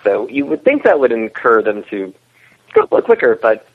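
A man's voice: mid-range voice, very animated, a little fast, breathy edge. A man talking casually as an interview guest, a web series creator explaining his work. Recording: tinny phone-like line.

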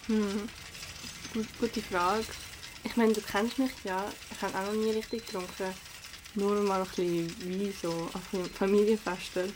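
A woman's voice: mid-range voice, level tone, unhurried, noisy background, lightly acted. Slangy teenage girl played by a young woman. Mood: hesitant, thoughtful. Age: young adult. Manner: casual youth slang. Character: cautious but adventurous teenage girl, easily impressed. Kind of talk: amateur radio drama dialogue.

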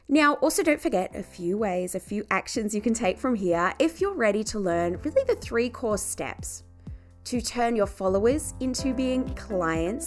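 Woman host, effusive and upbeat, live online chat feel, mid-range voice. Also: medium pitch. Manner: effusive, enthusiastic. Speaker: podcast host. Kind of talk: live online conversation, then podcast outro. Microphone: live-stream call.

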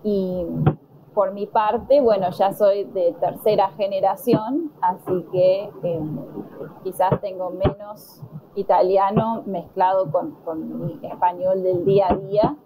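An Argentine woman answering conversation questions slowly and deliberately, pausing with fillers. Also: medium pitch, fairly even intonation, highs cut off, noisy background. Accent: Argentine Spanish. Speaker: interviewee. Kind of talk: slow, careful conversation answers.

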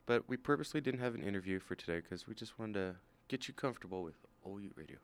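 A man's voice: lowish voice, expressive, fast. A man hosting a radio show in casual chat, teasing his co-host and laughing often.

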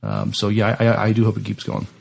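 Man, podcast co-host, low gravelly voice, stammering and tentative delivery, casual discussion. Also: monotone, fast, darkish tone. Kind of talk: casual discussion, reading out entertainment news.

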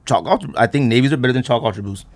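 Male podcast host, mid pitch with big swings, casual and argumentative, pushing back to defend his takes. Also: expressive, rapid-fire. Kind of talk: casual debate among friends.

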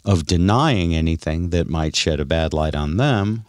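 A man's voice: sits low, lively intonation, moderate pace, darkish tone, dry recording, studio quality. A man hosting a casual radio chat, telling stories and needling his co-hosts with probing questions. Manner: teasing, probing. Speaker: radio show host.

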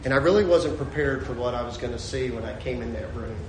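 A man preaching a sermon, his voice swinging from shouted peaks to a hushed storytelling tone. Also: medium pitch, fast, echoey room, a little hiss or hum, low-quality recording. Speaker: pastor.

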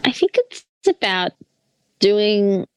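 A woman's voice: mid-range voice, sing-song, slow, smooth, no room sound. A woman, a guest author on a podcast, answering in an earnest, fluent way with casual fillers. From Australia.

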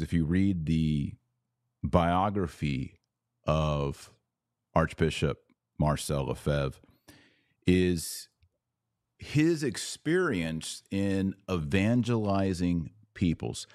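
A man's voice: low-pitched, animated, slow pace, a little mellow, dry recording, studio quality. A man hosting a solo podcast, delivering opinionated commentary in a sardonic, emphatic way. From Texas. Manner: sardonic, emphatic, shifts pace often. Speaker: podcast host.

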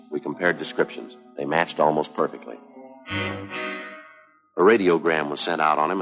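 Stoic American cop narrator: brisk, low, terse, businesslike, vintage crime-drama soundtrack. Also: male voice. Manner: clipped, deadpan delivery. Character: stoic, businesslike male police detective sergeant narrating cases. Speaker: narrator and lead detective. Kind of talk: 1950s police drama narration, terse and factual. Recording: 1950s TV soundtrack with music behind.

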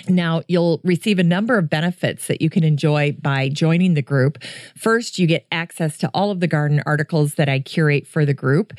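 A middle-aged woman hosting a gardening podcast in a chatty, friendly way, her voice deeper than usual after a coughing fit. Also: darkish tone, no room sound, clean studio sound. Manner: chatty, friendly listener address. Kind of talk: casual solo podcast talk.

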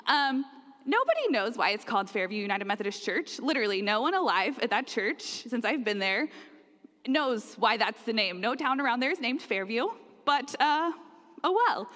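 Young oklahoma gal preaching, chatty with lots of ums, kinda high voice, big churchy echo. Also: female voice, fairly bright tone. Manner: friendly, conversational with frequent fillers. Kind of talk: sermon. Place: church sanctuary. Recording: long church reverb.

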